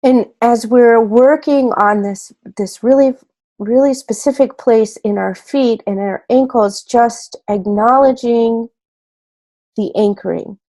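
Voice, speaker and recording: A woman leading a guided meditation in a gentle, soothing way, unhurried and pausing between short phrases. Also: a little high.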